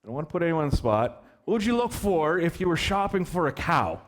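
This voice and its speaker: A man preaching a sermon as pastor, telling a story in an animated, joking way and asking the children questions. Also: on the high side, fast. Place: church hall.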